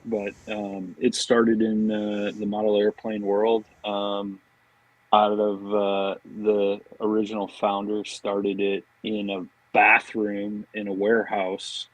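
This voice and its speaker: A man's voice: lowish voice, dry recording, low-quality recording. A man chatting casually as a podcast guest, easygoing and informal. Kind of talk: casual chat.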